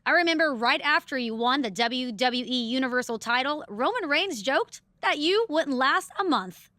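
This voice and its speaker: Lively female interviewer, high-pitched, very varied intonation, teasing, video game presenter. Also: normal speed, no echo. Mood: upbeat. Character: lively, teasing female sports show co-host. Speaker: interview co-host. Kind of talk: broadcast presenting in game cutscene.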